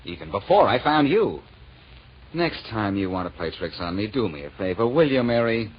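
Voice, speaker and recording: An actor playing a brash, exasperated amateur sleuth in a 1940s radio drama, sardonic and excitable. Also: male voice, fairly low pitch, moderate pace. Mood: dry, sardonic. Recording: dull, noisy old radio recording.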